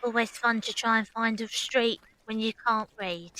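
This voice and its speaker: A woman's voice: on the high side, steady tone, normal speed, sharp tone, poor audio. A young woman playing a plucky Victorian circus runaway who narrates her own story. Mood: reflective, wry. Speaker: lead actress and narrator. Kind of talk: first-person audio drama narration.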